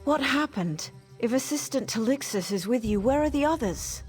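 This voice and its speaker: Stern fantasy game commander: female, higher-pitched, formal, authoritative, light music underneath. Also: expressive, normal speed. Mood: stern, businesslike. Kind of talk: fantasy video game dialogue.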